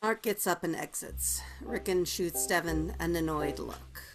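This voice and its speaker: A woman's voice: lowish voice, unhurried, low-quality recording. A woman narrating stage directions from a script at a table read.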